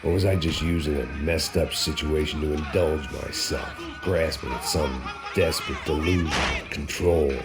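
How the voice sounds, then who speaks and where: An actor playing a weary, bitter middle-aged American ex-cop in a video game, with a cynical hardboiled delivery. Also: male voice, rumbling low, steady tone, moderate pace, few pauses, over loud music. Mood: brooding, cynical. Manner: cynical, world-weary, sardonic. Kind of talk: hardboiled scripted game narration and dialogue.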